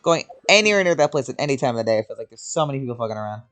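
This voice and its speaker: Very low-voiced woman doing casual game commentary. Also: lively intonation, very fast. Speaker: gaming video host. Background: faint game music.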